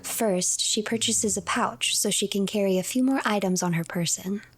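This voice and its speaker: A woman narrating a game's story in a measured, scripted storytelling manner. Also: medium pitch, a little hiss or hum. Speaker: streamer narrator. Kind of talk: scripted storybook-like narration of a game, third person.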